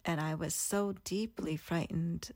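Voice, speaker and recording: A woman's voice: lowish voice, steady tone, a little slow, darkish tone, dry recording, clean studio sound. A woman in her thirties, earnestly and reflectively telling her own story as a guest in an interview. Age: 30s.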